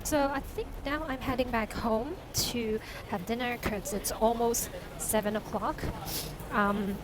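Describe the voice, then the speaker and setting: A woman whose first language is Cantonese, chatting casually to a vlog audience in a halting, self-correcting way. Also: fairly high pitch, steady tone, noisy background. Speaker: vlogger and novelist.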